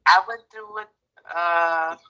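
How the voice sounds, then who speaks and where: A woman's voice: fairly low pitch, steady tone, slow, bright, forceful tone. A woman in her fifties from Harlem with a New York accent, calling in and talking in a subdued, confiding way. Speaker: caller. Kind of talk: phone-in conversation. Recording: tinny phone line.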